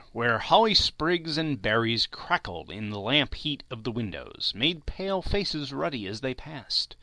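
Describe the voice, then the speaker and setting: A man narrating a classic story as an audiobook reader, theatrical and expressive, with deliberate phrasing. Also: mid-range voice, no room sound.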